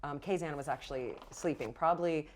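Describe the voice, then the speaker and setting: A woman with a slightly low voice runs a tabletop roleplaying game. She talks conversationally, improvising the scene as she goes, and encourages players while walking them through the rules. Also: a little fast, a little hiss or hum. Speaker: game master.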